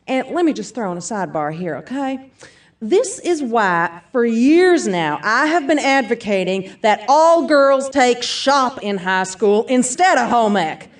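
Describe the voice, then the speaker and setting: A woman's voice: a little high, sing-song, unhurried, distant mic. An actress playing a brash, upbeat self-defense seminar speaker who addresses her audience emphatically in an audio theatre piece. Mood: chatty, jokey. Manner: emphatic, peppy motivational-speaker delivery, folksy asides.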